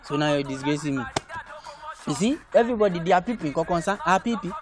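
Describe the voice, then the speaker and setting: A man's voice: a little high, expressive, fast, music in the background, lightly acted. A man acting a desperate, blustering boyfriend in comic melodrama, with a West African accent and theatrical, repetitive pleading. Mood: hurt, protesting.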